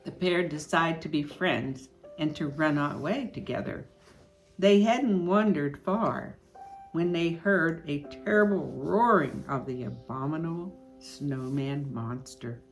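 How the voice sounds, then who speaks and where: An older woman reading a children's storybook aloud in an expressive, unhurried way. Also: deep voice, poor audio. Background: music.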